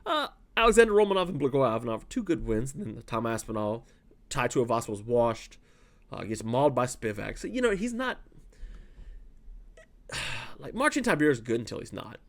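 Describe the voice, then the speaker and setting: Man, from south louisiana, gravelly fry in the voice, mid-pitched, chatty fight analysis, loose and rambling, close mic. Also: very animated, a little fast. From South Louisiana, USA. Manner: rambling, chatty, frequent fillers and self-corrections. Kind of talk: casual fight breakdown monologue.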